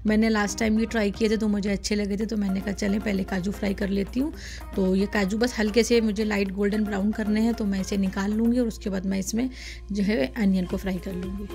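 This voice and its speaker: A woman's voice: medium pitch, steady tone, fast talker, creaky, darkish tone, soft background music. A woman hosting a cooking channel, talking through each step of a recipe in a chatty, casual way with plenty of fillers. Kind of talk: casual cooking demonstration voice-over.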